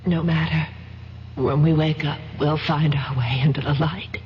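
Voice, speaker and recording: A woman's voice: low-pitched, fairly even intonation, unhurried. An actress playing a frightened, loving young woman in an old-time radio drama, with a pleading, emotional manner. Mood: weary, tender. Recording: echoing, dull, hissy vintage radio recording.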